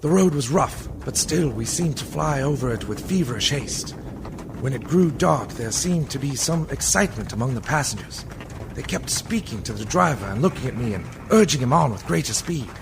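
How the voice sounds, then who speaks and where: A man playing an earnest, uneasy young lawyer's clerk in a radio play, grave and dramatic, with a gravelly creak. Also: medium pitch, expressive, moderate pace. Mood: mounting unease. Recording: noisy background behind voice.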